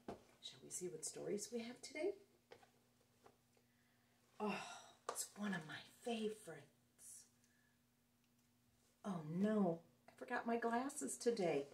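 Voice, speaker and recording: A woman's voice: medium pitch, lively intonation, unhurried, long pauses, darkish tone, a little hiss or hum. A woman reading a picture book aloud to children in a playful, sing-song way, recorded indoors. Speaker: children's story reader. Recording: echoey room, mic some distance away.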